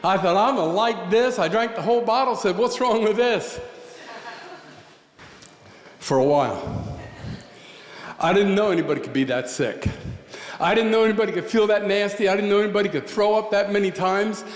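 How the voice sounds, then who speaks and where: A man from southeastern Pennsylvania tells a story on a coffeehouse stage, folksy and joking with a deadpan touch. His voice sits high. The sound rings through a roomy space with a long reverb tail. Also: moderate pace, pauses often. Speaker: storyteller performer. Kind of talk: spoken storytelling. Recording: echoey room with long reverb.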